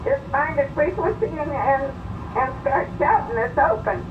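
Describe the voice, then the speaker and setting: A woman's voice: high-pitched, lively intonation, measured pace, muffled recording. Thin, crackly woman on shortwave radio. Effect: shortwave single-sideband radio. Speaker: amateur radio operator. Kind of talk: casual on-air radio contact. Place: heard through a radio receiver. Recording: thin, noisy, distant shortwave radio reception.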